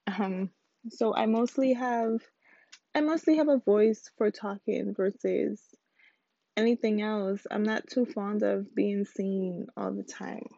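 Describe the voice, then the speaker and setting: A woman in her twenties from the Virgin Islands, hosting her own podcast in a rambling, chatty way with a slightly squeaky voice. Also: fairly even intonation, slow, creaky, dark tone, dry recording, lo-fi sound. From United States Virgin Islands. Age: 20s. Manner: rambling, chatty, self-correcting. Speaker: podcast host. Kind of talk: casual solo podcast intro.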